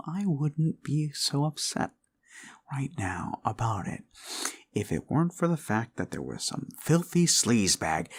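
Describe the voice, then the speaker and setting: A man playing an obsessive, controlling boyfriend in an ASMR roleplay, coaxing and condescending, often slipping into breathy whispers. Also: medium pitch, heavily acted. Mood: menacing, possessive. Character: obsessive, controlling yandere boyfriend, menacingly sweet.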